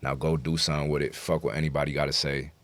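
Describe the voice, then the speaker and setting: Very deep-voiced New man. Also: flat delivery, quick pace, fairly bright tone, no echo. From New York City, United States. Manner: slangy, profane, self-assured. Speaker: guest rapper. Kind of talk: casual interview chat.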